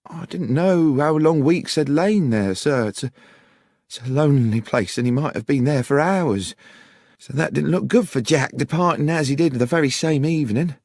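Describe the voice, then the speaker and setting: A man voicing an anxious, deferential young country woman with rustic, halting speech, in an audiobook. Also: a little high, darkish tone, no echo, clean studio sound, voice pushed up. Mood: anxious, earnest. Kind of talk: audiobook character voice.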